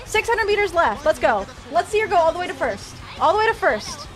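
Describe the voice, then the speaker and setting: A young woman streaming a game, chatting with her viewers and cheering loudly through the races, though her voice is growing worn. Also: very high voice, sing-song, fairly quick. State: losing her voice. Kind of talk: live game stream commentary with chat.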